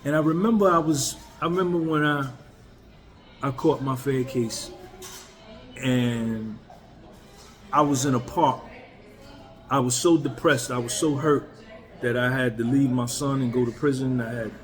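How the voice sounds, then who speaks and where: Mid-50s guy on his channel, blunt and candid, plain everyday voice, bit of music low behind him. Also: measured pace, pauses often. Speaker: YouTuber. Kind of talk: casual talk to camera.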